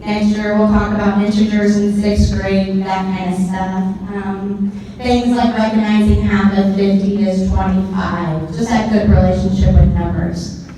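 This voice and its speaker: A woman with a loud, projecting voice, speaking informally as a teacher presenting to parents. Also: mid-range voice, level tone, unhurried. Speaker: middle school math teacher. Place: large echoing indoor room with ambient noise. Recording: echoey hall from far off, faint noise.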